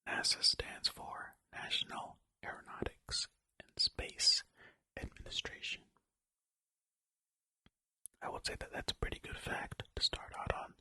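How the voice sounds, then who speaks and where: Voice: measured pace, long pauses, bright, forceful tone, no room sound. A host whispering an ASMR reading of facts, with rambling, jokey, self-deprecating asides in a casual way. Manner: whispering throughout. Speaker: host of a video channel.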